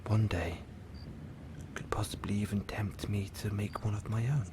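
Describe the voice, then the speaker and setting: A man playing a lonely, lovesick supervillain in an audio roleplay, theatrical and halting, often dropping into breathy near-whispers. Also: fairly low pitch, steady tone, noisy background, close to an everyday voice. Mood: lonely, yearning. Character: lovesick, lonely supervillain, suave musical phantom, obsessive and theatrical. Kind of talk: intimate audio roleplay acting.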